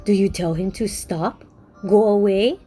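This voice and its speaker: A woman's voice: mid-range voice, a little slow, mellow, faint music behind, lightly acted. A woman voicing a wise, reassuring elder sea creature, speaking with firm encouragement in a storybook. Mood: questioning.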